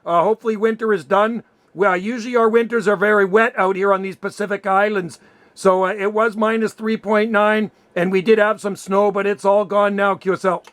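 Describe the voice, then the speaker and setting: A man's voice: high-pitched, steady tone, fairly quick, a bit bright. A man making casual radio contact as an operator, chatty and full of radio jargon.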